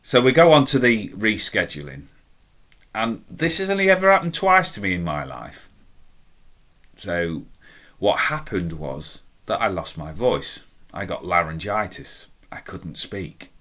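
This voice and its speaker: Deep, forceful man telling stories. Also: big pitch swings, a little slow. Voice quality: deep, strong voice. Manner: chatty, self-deprecating, emphatic. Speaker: storyteller. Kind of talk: casual anecdotal storytelling.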